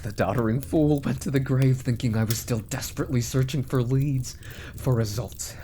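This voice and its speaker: A man's voice: mid-range voice, close to an everyday voice. Man acting an arrogant, scheming villain mage over background noise. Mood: smug, gloating. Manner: haughty, deliberate, trailing into hushed asides. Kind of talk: ASMR roleplay villain monologue.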